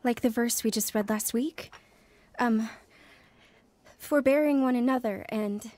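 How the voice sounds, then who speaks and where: A woman's voice: fairly high pitch, unhurried, a little mellow, no echo, natural voice. An actress playing an earnest, wounded young woman telling her life story in a dramatized testimony. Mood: hesitant, hurt. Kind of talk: dramatized first-person testimony narration and dialogue.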